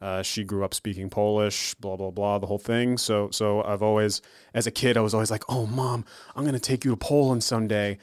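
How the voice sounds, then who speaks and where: Male, 30s, low and relaxed, laughs a lot, dry close sound. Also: fairly quick, no room sound. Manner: easygoing, laughs often. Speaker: podcast player. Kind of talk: casual podcast chat.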